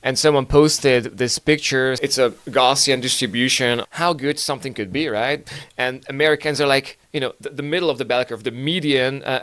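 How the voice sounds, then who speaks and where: Man, native hungarian speaker, podcast host, swears a lot, rambles, smooth clear voice, dry close sound. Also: a little high, a bit bright, no room sound. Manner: rambling, profane, joking, many fillers. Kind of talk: casual news commentary.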